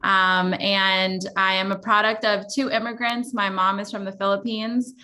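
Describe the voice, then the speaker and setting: Bright-toned woman from Los Angeles. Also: mid-range voice, level tone, moderate pace. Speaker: panelist. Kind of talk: panel discussion.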